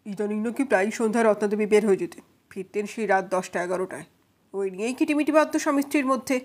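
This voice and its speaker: A woman's voice: medium pitch, rapid-fire, a little mellow, close to an everyday voice. Woman as a cautious, subdued male witness. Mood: hurried, explaining. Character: cautious male neighbour testifying as a court witness. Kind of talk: dramatized witness testimony.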